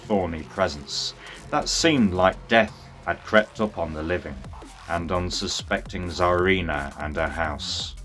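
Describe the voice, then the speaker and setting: A man narrating a scripted storybook tale in a theatrical, deliberate manner. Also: low-pitched, a bit bright.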